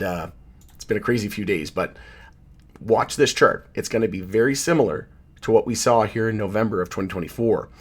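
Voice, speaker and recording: A man hosting a casual market commentary, hoarse from losing his voice, rambling and correcting himself as he goes. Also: fairly low pitch. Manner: rambling, self-correcting, loose with fillers. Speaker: channel host, market commentator. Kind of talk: casual chart commentary to camera.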